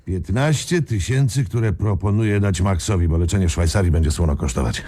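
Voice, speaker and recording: An actor playing a commanding, sly gang leader in a Polish radio crime drama, with a husky, gravelly voice. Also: male voice, low voice, fairly quick, warm tone. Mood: smug, gleeful.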